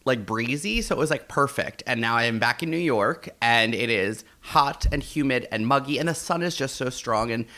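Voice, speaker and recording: An American man co-hosting a podcast in casual banter, chatty and halting, often repeating words as he searches for the next one. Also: mid-range voice, pushed and bright. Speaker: podcast co-host.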